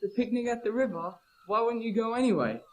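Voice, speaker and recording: A cheeky Australian schoolboy with a notably high voice. He is talkative, eager and teasing, in the style of a nostalgic radio play. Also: animated, brisk, darkish tone, poor audio. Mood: puzzled. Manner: chatty, teasing.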